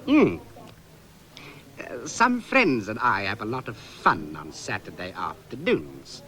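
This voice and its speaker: Exasperated male customer, South African, sarcastic, very animated, forceful edge. 1970s radio sketch. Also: lowish voice, slow pace, full character voice. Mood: sweetly sarcastic. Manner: polite but seething, builds to frantic outbursts.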